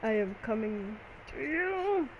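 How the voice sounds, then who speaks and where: A gaming streamer talks casually through gameplay. The voice sits at a middle pitch, and there is clear noise on the recording. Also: sing-song, slow, dull audio.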